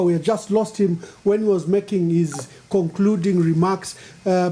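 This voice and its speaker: Guy hosting a live show, high mellow voice, polite and easygoing. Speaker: host.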